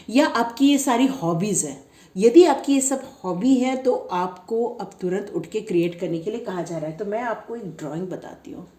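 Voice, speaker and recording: A woman with a sore, slightly strained throat, giving a pick-a-card tarot reading in a gentle, encouraging way. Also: on the high side. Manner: gentle, encouraging, repetitive guidance. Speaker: tarot reader. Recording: echoey room.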